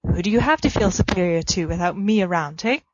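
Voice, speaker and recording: A woman's voice: on the low side, animated, fairly quick, dry recording. A woman reading a fan fiction letter aloud with a playful, cheeky, mock-pompous delivery. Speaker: podfic reader. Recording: dull lo-fi dry home recording.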